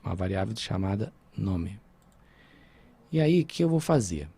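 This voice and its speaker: Brazilian guy teaching coding on a screen recording, mellow husky voice, keys typing in the background. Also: fairly low pitch, big pitch swings, moderate pace, dry recording. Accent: Brazilian Portuguese. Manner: informal, checks in with "tá?" and "né?". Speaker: programming teacher.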